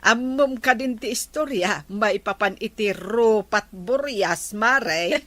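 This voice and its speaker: A woman's voice: mid-range voice, slow pace, fairly bright tone, no echo. A woman acting an eager village gossip in an Ilocano comedy drama, with an Ilocano accent. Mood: gossipy. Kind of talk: Ilocano radio-style comedy drama dialogue.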